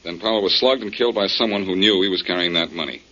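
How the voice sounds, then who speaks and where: An actor playing a cool, wry American detective in a 1940s radio drama, assured and sardonic, on a muffled old recording. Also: male voice, sits low, fast, bright, forceful tone. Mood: cool, probing.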